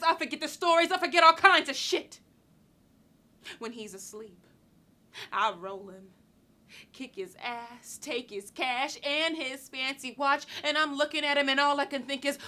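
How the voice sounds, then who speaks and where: An actress playing a defiant, wounded young street woman in a theatrical monologue, streetwise and taunting, moving between hushed and loud. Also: fairly high pitch. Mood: defiant, boastful.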